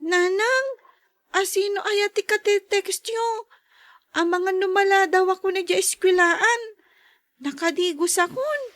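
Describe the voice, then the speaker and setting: Little schoolchild, podcast drama: extremely high, curious, impatient. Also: female voice, measured pace, pauses often, a bit bright. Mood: questioning. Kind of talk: podcast radio drama acting.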